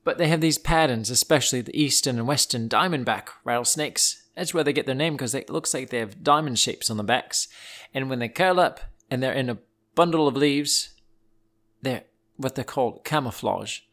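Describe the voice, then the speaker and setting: A man's voice: mid-range voice, normal speed, a bit bright. Playful man telling animal stories. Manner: playful, theatrical put-on accent. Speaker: host of a children's animal podcast. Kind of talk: informal storytelling narration for listeners. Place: indoors beside a wood stove.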